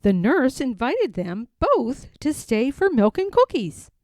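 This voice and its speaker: Woman, children's book read-aloud, expressive sing-song, fairly high voice, dry close sound. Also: takes it slowly, no echo.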